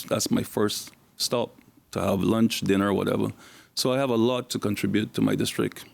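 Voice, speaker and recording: A man with a hoarse, gravelly voice that sits low for a man. He speaks bluntly and plainly as a candidate at a public forum, circling back over his points. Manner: blunt, plain-spoken, repeats himself. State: hoarse from flu. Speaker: election candidate. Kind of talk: campaign speech at a public forum.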